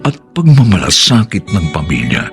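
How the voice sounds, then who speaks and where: A man's voice: low-pitched, wildly swooping pitch, moderate pace. A Filipino man narrating a radio drama's closing reflection in a solemn style over plucked guitar music.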